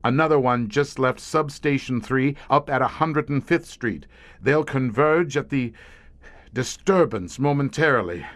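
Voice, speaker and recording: An actor playing a grim, weary older commander of a secret monster-hunting police squad in an audio horror drama, speaking in florid, deliberate phrases. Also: male voice, mid-range voice, fairly bright tone. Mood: businesslike, grim. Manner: eloquent, florid, deliberate speech.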